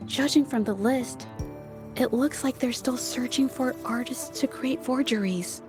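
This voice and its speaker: A high, gentle female voice plays an earnest young investigator in a game cutscene. She is soft-spoken and thoughtful, with faint music behind her. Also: measured pace. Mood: thoughtful, puzzled. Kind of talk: video game cutscene acting.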